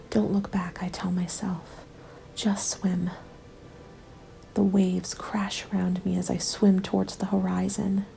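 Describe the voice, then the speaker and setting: Soft, whispery woman narrating, dark and creaky. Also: medium pitch, a little hiss or hum, rough recording. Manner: soft, often whispery, measured delivery. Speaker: ASMR roleplay narrator. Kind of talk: scripted ASMR first-person story narration. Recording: background music under the voice. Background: music.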